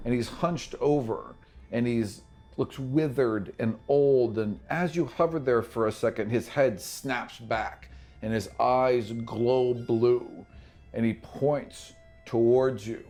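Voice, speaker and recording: A man's voice: mid-range voice, slow, darkish tone, faint music behind. A man working as game master, narrating a tabletop game in a descriptive, conversational way and thinking aloud as he goes.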